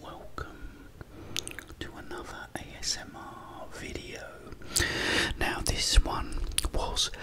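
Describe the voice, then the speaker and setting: A man speaking in a breathy whisper, chatting and telling casual stories in a halting way as an ASMR host while eating. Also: bright, forceful tone. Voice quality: breathy, mostly unvoiced whisper.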